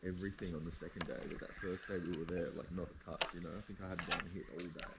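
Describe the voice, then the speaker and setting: Deep fast-talking man. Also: fairly even intonation, heavy background noise. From Australia. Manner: rapid, blunt and sweary. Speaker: fishing companion. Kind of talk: casual chat. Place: kayak on open water outdoors.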